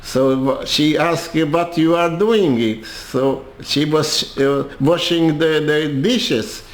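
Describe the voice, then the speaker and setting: An older man with a Hungarian accent tells his story very slowly, his voice sitting a bit high for a man. He stops and restarts his phrases again and again. The room around him is echoey. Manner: halting, with many restarts and repeated words. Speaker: interviewee. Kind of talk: personal storytelling.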